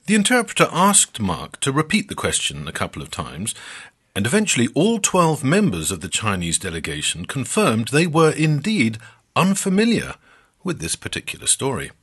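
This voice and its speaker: A man narrating a scripted story as a podcast storyteller, with dramatic, measured phrasing and drawn-out emphasis. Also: medium pitch, huge pitch swings, fairly bright tone, slightly rough.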